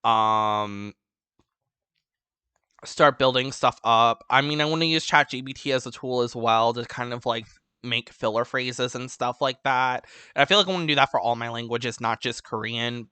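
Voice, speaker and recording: A man's voice: medium pitch, fairly even intonation, moderate pace, pushed and bright, smooth, no room sound. A man hosting a casual language-learning vlog, rambling with lots of ums and restarts, enthusiastic about languages. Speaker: language-learning channel host. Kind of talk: casual unscripted vlog update.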